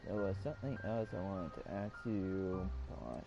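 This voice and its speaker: Low-voiced gamer over game music. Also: male voice, animated, normal speed, lo-fi sound. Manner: rambling, mumbling to himself. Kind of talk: casual let's-play commentary. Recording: clear game music behind voice.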